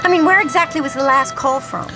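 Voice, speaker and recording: A woman's voice: sits high, fast, lightly acted. An actress playing an anxious, inquisitive young female companion in an audio drama, with an urgent, questioning manner. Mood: anxious, curious. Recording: audio drama with music behind. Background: music.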